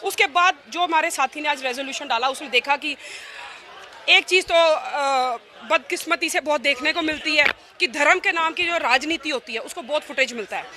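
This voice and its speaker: A woman politician argues insistently in a press statement. Her voice is high, bright and forceful. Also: normal speed. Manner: insistent, argumentative. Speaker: opposition municipal councillor.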